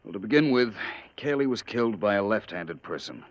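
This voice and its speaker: Actor as deep-voiced, composed gentleman detective. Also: animated, brisk, close to an everyday voice. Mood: calm, certain. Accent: American. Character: composed, shrewd gentleman detective recovering in hospital. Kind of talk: 1950s radio drama acting. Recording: dull old radio recording.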